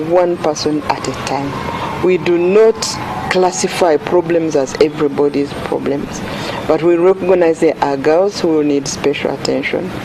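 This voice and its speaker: A man speaking earnestly and emphatically for an organization in a documentary interview. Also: a little high. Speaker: organization representative. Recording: clear background noise.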